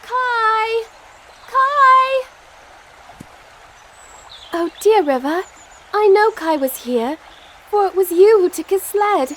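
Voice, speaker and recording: Woman acting a very high-voiced earnest teenage girl. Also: lively intonation, slow pace, long pauses, fairly bright tone, exaggerated voice. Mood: pleading, hopeful. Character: determined, earnest, polite sixteen-year-old girl. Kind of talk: amateur audio play acting. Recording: faint background noise.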